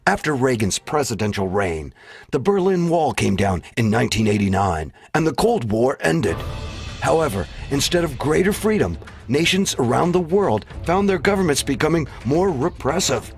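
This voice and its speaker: Male documentary narrator, formal and declarative, lively pitch movement, music underneath. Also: normal speed. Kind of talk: scripted narration. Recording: music bed behind narration. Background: background music.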